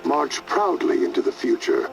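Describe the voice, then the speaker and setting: Gravelly old mentor, solemn pride; game audio, music under. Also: male voice, medium pitch, wildly swooping pitch, normal speed, low-quality recording. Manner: solemn, encouraging. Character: older male professor, proud mentor.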